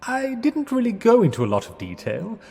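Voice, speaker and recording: A man's voice: very high-pitched, huge pitch swings, a little fast, vocal fry, mellow, husky, full character voice. An actor playing a bumbling, apologetic Englishman in a period radio drama, with an English accent and a hesitant, flustered, polite way of talking. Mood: sheepish. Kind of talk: 1920s-set radio drama acting. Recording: reverberant hall-like space.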